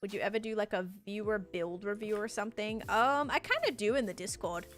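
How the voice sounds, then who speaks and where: A woman's voice: mid-range voice, animated, fairly quick, fairly bright tone. A young woman, a little congested, chatting casually on a live stream and reading chat aloud in a rambling way. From Australia. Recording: music playing underneath. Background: background music.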